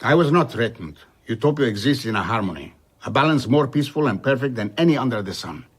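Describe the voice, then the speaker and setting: An actor playing a proud, grave older traveller in a radio drama, husky, with a measured, solemn delivery. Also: male voice, medium pitch, lively intonation. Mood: defensive. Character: proud, grave older male traveller recounting his past.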